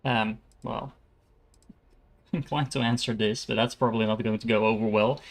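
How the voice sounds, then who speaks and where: A man's voice: mid-range voice, steady tone, normal speed. A man giving casual live commentary on a game he plays, musing aloud with dry jokes. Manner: musing aloud, dryly joking. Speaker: livestreamer playing a game.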